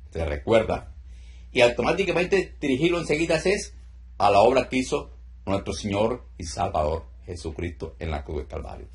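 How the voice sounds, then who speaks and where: A middle-aged man speaks with calm authority over a video call. He gives steady commands and pauses to explain each step of the session. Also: mid-range voice. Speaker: deliverance minister. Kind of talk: ministry session with teaching asides. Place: indoor room.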